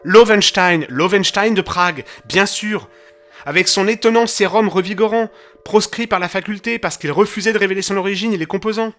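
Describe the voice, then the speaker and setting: Male actor as good-natured, slightly clumsy chronicler, earnest delivery, slightly high pitch, music underneath. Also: brisk, fairly bright tone. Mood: excited recall. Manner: bumbling, earnest. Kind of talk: French audio drama acting and narration. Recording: clear music behind the voice.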